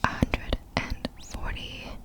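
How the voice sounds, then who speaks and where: A woman whispering softly in an ASMR style, reading out facts in short, measured, breathy phrases. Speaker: ASMR video creator.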